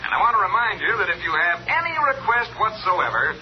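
High-pitched, breezy radio DJ. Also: male voice, big pitch swings, fast talker. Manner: slick, jokey patter. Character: breezy, chummy male evening radio disc jockey. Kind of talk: 1950s radio DJ patter. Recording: muffled old radio recording, faint noise.

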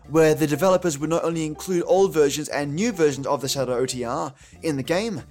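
A male podcast host talks casually over background music. His voice sits a bit high as he gives chatty commentary.

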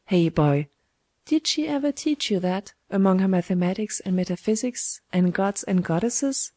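A woman's voice: mid-range voice, lively intonation, normal speed, mellow, no echo, studio quality, close to an everyday voice. A woman voicing a sly, domineering old woman schemer in a storybook, with a taunting, wheedling manner. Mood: mocking. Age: older.

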